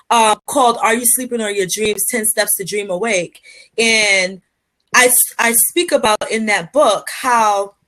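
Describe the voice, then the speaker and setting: A woman from Liberia talks as a guest on a podcast, earnest and motivational, sprinkling in fillers and restarting her sentences. Her voice sits a little high for a woman. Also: a bit bright, rough recording. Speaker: guest, public speaker. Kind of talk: podcast interview answers.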